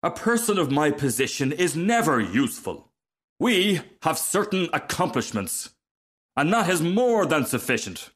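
A man voicing a haughty, self-important firework rocket in a storybook, making pompous, drawn-out pronouncements. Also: medium pitch, big pitch swings, a bit bright. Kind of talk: storybook character reading.

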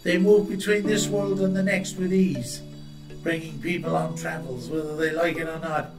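A friendly old wanderer in a storybook, put on by a male narrator, pitched on the high side. He talks in a genial, knowing and mysterious way, with soft music underneath. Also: fairly even intonation, moderate pace, creaky, reverberant, recorded from a distance. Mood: ominous, knowing. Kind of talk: audiobook character acting.

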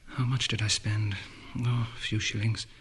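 An actor voicing a brooding young poet's murmured inner monologue, at times sinking to a whisper. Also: male voice, fairly low pitch, level tone, normal speed, a bit bright, a little hiss or hum, lightly acted. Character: brooding young male poet, inward and allusive.

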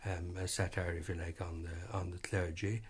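A man's voice: deep voice, little pitch movement, husky. A man, speaking hesitantly as an interview guest in a vintage radio program. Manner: halting, many fillers and restarts. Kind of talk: reflective interview talk.

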